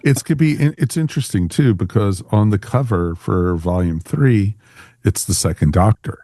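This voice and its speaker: A man's voice: fairly low pitch, lively intonation, normal speed, mellow, dry recording, clean studio sound. A man hosting a podcast in casual panel chat. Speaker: podcast host.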